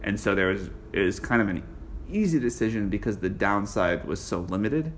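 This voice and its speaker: Man, guest, low voice, halting with fillers, faint hiss behind. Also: lively intonation. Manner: halting, many restarts and fillers. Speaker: interview guest. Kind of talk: conversational interview answers.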